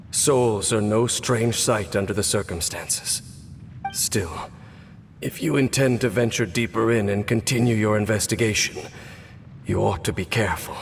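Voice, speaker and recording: A man's voice: on the low side, slight background noise. Grave stoic swordsman. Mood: solemn. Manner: measured, solemn, commanding. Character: grave stoic male swordsman captain, reserved. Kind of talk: video game cutscene voice acting. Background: game score.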